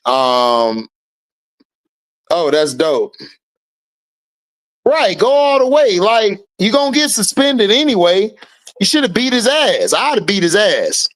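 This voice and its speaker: A man's voice: high voice, very animated, slow, pauses often. A man, hosting a casual sports stream, blunt and heated and swearing freely. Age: 30s. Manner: blunt and heated, swearing freely, rising loudly when riled. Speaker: host of an online sports and commentary stream. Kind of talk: casual opinionated commentary, reacting to chat.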